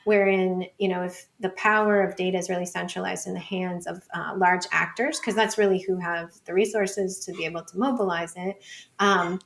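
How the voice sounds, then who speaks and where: A woman's voice: fairly low pitch, fairly even intonation, normal speed, vocal fry, a bit bright, a little hiss or hum. A woman speaking as an expert guest in a conversational interview, explaining informally with lots of hedging words like "like", "sort of" and "you know". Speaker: interview guest, data researcher and book author. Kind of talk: conversational podcast interview answers.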